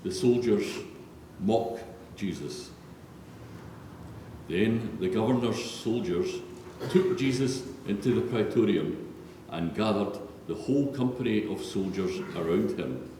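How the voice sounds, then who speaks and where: A man's voice: lowish voice, expressive, takes it slowly, mellow. A man narrating a solemn scripted Bible reading in a large church. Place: large reverberant church, read aloud. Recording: echoey church hall, distant, faint noise.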